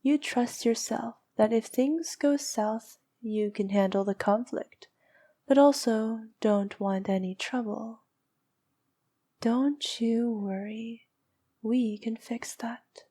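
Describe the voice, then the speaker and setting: Vampire princess, played by a woman: regal, teasing, needy; higher pitch, unhurried intimate ASMR delivery with whispery edges. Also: dark tone. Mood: soothing, teasing. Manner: slow, teasing, regal, often dropping to breathy whispers. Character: noble but needy flirtatious vampire princess.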